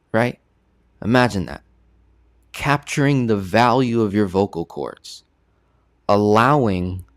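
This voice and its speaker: A man's voice: low voice, dry recording, studio quality. A man giving a casual online presentation as a personal finance coach, talking conversationally and often checking in with "right?". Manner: conversational, keeps asking "right?".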